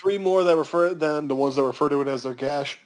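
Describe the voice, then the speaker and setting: High-voiced man joking around. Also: a little fast, darkish tone. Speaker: podcast co-host. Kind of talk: casual joking banter.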